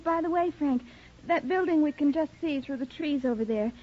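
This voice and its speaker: A woman's voice: high-pitched, moderate pace, lightly acted. An actress playing an agreeable, polite young woman in a 1940s radio drama. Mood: pleasant, relaxed. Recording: noisy, dull vintage radio recording.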